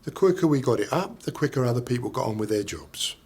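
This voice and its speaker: An actor playing an impatient, sarcastic veteran scaffolder who dismisses rules, in a naturalistic training film drama. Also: male voice, mid-range voice, animated, fast talker, a little mellow, breathy edge. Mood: defensive. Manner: blunt, sarcastic, defensive.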